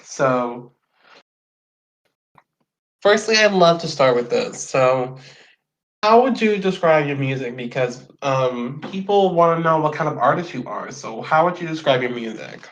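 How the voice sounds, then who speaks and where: A man's voice: a little high, animated, unhurried, long pauses. A man hosting a casual podcast interview, rambling and swearing, often slipping into playful quoted voices. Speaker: podcast host. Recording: dull lo-fi sound.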